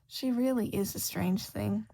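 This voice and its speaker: A woman's voice: mid-range voice, a little slow, vocal fry, warm tone. A woman reading a scripted fiction story aloud as its narrator. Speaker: podfic narrator.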